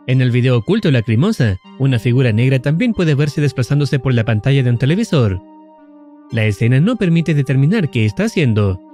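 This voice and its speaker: Guy narrating horror lore, mellow voice, lively intonation, calm and explaining. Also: mid-range voice. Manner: measured, explanatory.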